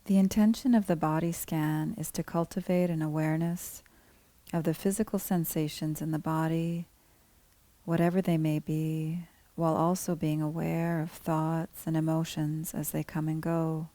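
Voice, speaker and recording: A woman guiding a body scan meditation as a teacher, speaking calmly in measured, gently spaced phrases. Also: low-pitched, dry recording. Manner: calm, unhurried, gently measured phrasing with pauses. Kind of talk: guided meditation, scripted instruction.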